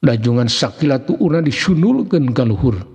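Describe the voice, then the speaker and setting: A man with a Sundanese accent narrating a folk tale in a theatrical, expressive way, with a husky voice. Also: mid-range voice, normal speed. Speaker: storyteller. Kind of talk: dramatic scripted narration of a folk mystery tale. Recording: long hall-like reverb.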